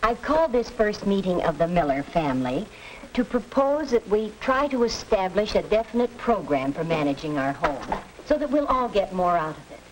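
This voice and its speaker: An actress playing an earnest, patient middle-aged American mother in a 1940s educational film, speaking in a measured way. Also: medium pitch, sing-song, close to an everyday voice. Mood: earnest, organizing. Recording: hissy, noisy 1940s film soundtrack.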